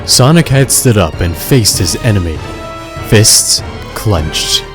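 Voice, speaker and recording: A man narrating a scripted story in a measured, suspenseful, dramatic style. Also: on the low side, expressive. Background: loud music.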